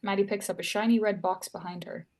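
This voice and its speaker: A woman's voice: mid-range voice, steady tone, fast talker, darkish tone. A woman reading stage directions and scene headings as narrator at a screenplay table read.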